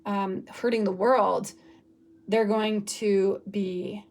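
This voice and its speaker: A woman reads tarot for her viewers in a loose, wandering way, often pausing to search for words. Her voice is raspy from a recent illness. She talks in a relaxed, casual manner. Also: medium pitch. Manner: rambling, loose and searching, many fillers. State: raspy from recovering from flu. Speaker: tarot reader. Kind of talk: casual pick-a-card tarot reading.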